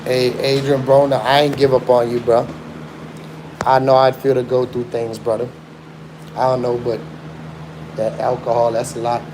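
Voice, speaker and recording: Rambling New man livestreaming. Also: medium pitch. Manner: rambling, repeats "you hear me" often. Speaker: livestream host. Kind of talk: casual livestream monologue. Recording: noisy phone livestream audio.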